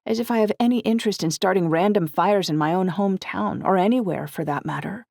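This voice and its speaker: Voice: medium pitch, brisk, vocal fry, no room sound, clean studio sound, voice pushed up. A narrator voicing an earnest, troubled young woman who speaks candidly and conversationally in an audiobook. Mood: indignant, puzzled.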